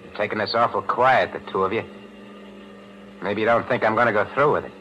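A cold, menacing 1940s crook. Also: male voice, fairly low pitch, fairly quick, bright, forceful tone, slight background noise. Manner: tough streetwise slang, coolly threatening. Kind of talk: 1940s radio acting. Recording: muffled old radio broadcast.

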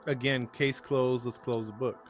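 A man's voice: medium pitch, steady tone, normal speed, soft background music. A man co-hosting a podcast, reacting to a crime story with quips and joking asides. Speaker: podcast co-host. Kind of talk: casual true crime chat.

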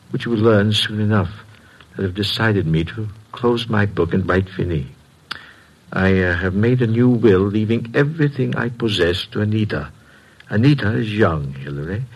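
Earnest uncle, middle-aged, hemming and halting, husky, very varied intonation, old radio drama. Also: male voice, lowish voice, low-quality recording.

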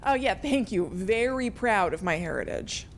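A woman's voice: mid-range voice, animated, moderate pace, slight background noise, lightly acted. A woman playing a dry, coolly matter-of-fact friend in an audio drama. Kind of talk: naturalistic audio drama acting.

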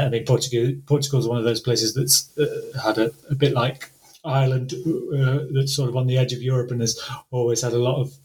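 A man's voice: mid-range voice, rough recording. A man from the UK, a translator speaking hesitantly with many fillers and restarts, then reading a story aloud.

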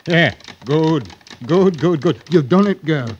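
An actor playing a kindly, fussy cloth merchant, patient and halting, in an old-time radio drama. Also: male voice, a little high, animated, slight background noise. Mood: patient instructing. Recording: muffled old radio recording.

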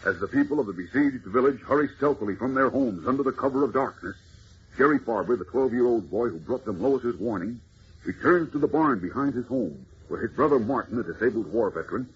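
A man's voice: medium pitch, animated, a little fast. A man narrating an old radio adventure serial in a dramatic, urgent, theatrical way, on a muffled, noisy recording. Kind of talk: 1940s radio scripted narration.

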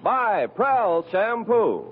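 Male commercial announcer, 1940s style: higher-pitched, highly varied delivery, narrow broadcast sound over music. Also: sing-song, extremely slow. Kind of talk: 1940s radio ad reading. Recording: tinny old radio, music behind.

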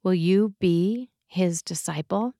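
A woman reading a scripted sermon in a measured, deliberate way. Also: fairly low pitch, warm tone, no room sound, clean studio sound. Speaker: preacher reading a written sermon for a podcast.

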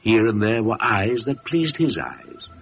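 A man's voice: lowish voice, wildly swooping pitch, unhurried, vocal fry. A man narrating a story solemnly in the style of old-time radio drama. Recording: muffled vintage radio recording with music.

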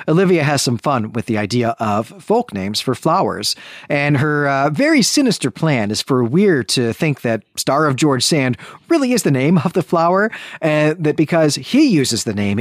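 A man speaks in a close, dry recording with a mid-pitched voice. He sounds like a bookish podcast co-host, explaining and digressing conversationally with light amusement. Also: no echo. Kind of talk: conversational literary discussion and recap.

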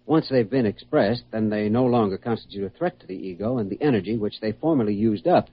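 An actor playing a calm, authoritative American doctor in a classic radio drama, speaking in a measured, reassuring way. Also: male voice, lowish voice, breathy edge. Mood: calm, explanatory. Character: calm, authoritative male doctor guiding a hypnotized patient. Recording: dull, lo-fi old radio recording.

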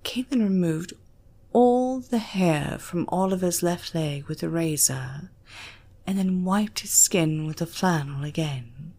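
A woman's voice: lowish voice, slow, warm tone. A woman with a British accent giving a dramatic narration of a scripted story. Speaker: audiobook narrator.

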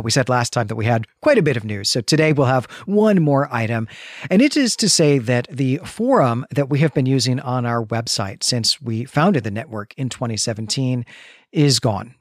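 A man with a mid-pitched voice talks as a podcast co-host. He explains things in a casual but bookish, discursive way. The sound is dry, with little room to it. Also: dry recording.

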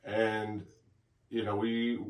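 A man's voice: fairly low pitch, level tone, extremely slow. A man co-hosting a podcast from his home office, chatting casually and often repeating words as he starts a thought. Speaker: podcast co-host. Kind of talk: casual chat. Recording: echoey room, distant mic.

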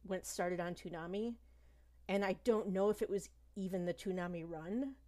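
A woman's voice: fairly low pitch, moderate pace, warm tone. A woman in her thirties, a guest on a podcast, chatting casually. She rambles a little, pokes fun at herself and hesitates often with ums. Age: 30s. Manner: rambling, self-deprecating, hesitant with many ums. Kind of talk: casual chat.

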